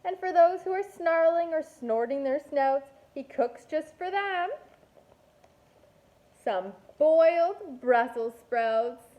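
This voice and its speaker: A woman reading a picture book aloud to young children like a kindergarten teacher, expressive and deliberate, with playful asides. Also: high voice, lo-fi sound.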